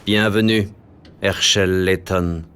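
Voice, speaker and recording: An actor playing a menacing villainous scientist with measured, mocking politeness in an animated game. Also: male voice, low-pitched, clear, resonant tone. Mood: smug. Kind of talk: animated game cutscene acting.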